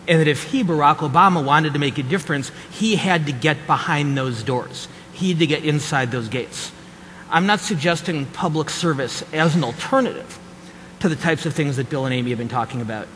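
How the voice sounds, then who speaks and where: A man's voice: a little high, a bit bright. A man on a policy panel telling a story and urging students toward public service. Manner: storytelling, earnest advice to students. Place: large conference hall. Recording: echoey hall with faint noise.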